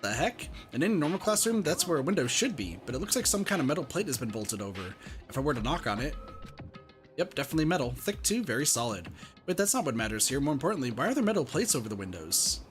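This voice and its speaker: A man's voice: on the high side, big pitch swings, fast talker, soft background music. A man reading a visual novel as its bewildered, earnest teenage schoolboy hero. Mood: puzzled. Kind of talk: reading game dialogue in character.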